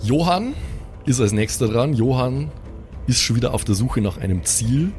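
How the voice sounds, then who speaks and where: A man's voice: medium pitch, animated, normal speed, a little mellow, faint music behind. Man narrating a tabletop game. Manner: vivid, descriptive storytelling with colloquial asides. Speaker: game master.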